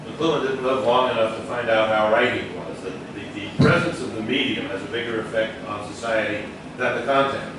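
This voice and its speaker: A man in an audience making an opinionated, expository comment that leads into a question. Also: medium pitch. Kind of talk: discursive question from the floor. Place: echoey indoor lecture room. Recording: very echoey room, distant mic, noisy.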